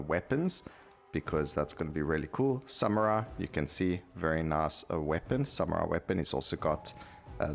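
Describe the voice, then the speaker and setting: A man giving casual, enthusiastic gameplay commentary as the host of a gaming video, explaining things informally. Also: extremely low pitch.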